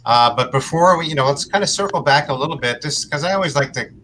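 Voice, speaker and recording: A man's voice: a little high, animated, fast talker, sharp tone, a little hiss or hum, poor audio. A man hosting a live interview, rambling and hedging with many fillers as he sets up his guest. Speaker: interview host.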